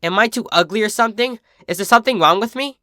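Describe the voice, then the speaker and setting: A man's voice: high-pitched, fast talker, pushed and bright, no echo, clean studio sound, voice pushed up. A man voicing a young female pony, an insecure and adoring filly with an earnest, childlike, pleading manner. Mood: hurt, pleading.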